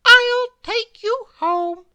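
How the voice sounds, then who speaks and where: A woman voicing a kindly, soft-hearted female bird in a children's storybook, with a reassuring manner. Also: very high voice, extremely slow, muffled recording, faint music behind, pitched above the performer's own voice, full character voice.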